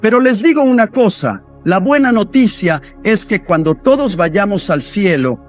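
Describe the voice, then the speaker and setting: A man delivering a sermon as a voice-over, in an earnest, steady preaching manner. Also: sits high, normal speed, faint music behind.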